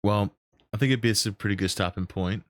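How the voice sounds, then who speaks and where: A man hosting a casual, unscripted streaming interview, talking in an animated, sweary way and often stammering over his words. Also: lowish voice, quick pace, dry recording. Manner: animated, profane, stammers and repeats himself. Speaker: podcast host and role-play streamer.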